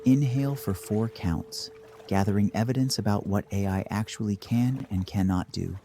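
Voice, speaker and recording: A man narrating a scripted guided meditation in a measured, dry deadpan manner. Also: lowish voice, background noise.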